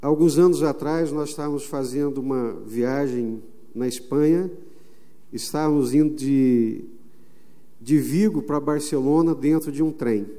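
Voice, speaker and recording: A Brazilian man preaching a sermon as pastor, emphatic, stretching some phrases slowly. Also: on the high side, dark tone. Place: church sanctuary. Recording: long reverb of a church hall.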